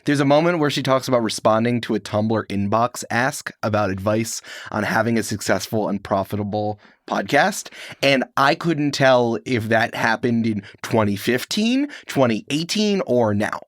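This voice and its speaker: Co-host: man, mid-pitched voice, playful and joking, casual delivery, very dry recording. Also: lively intonation, normal speed, dry recording. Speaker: podcast co-host. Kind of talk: casual banter and commentary.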